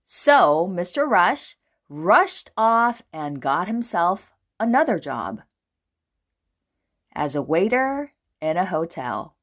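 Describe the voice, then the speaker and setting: Slow, very animated woman storyteller. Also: lowish voice. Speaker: children's book reader. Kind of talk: expressive storybook narration for children.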